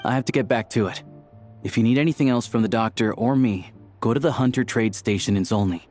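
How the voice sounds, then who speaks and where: An actor playing a dependable, protective, easygoing friend in a video game, speaking plainly and matter-of-factly. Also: male voice, medium pitch, a little fast, darkish tone, soft background music, lightly acted. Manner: matter-of-fact, plain-spoken. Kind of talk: video game character acting.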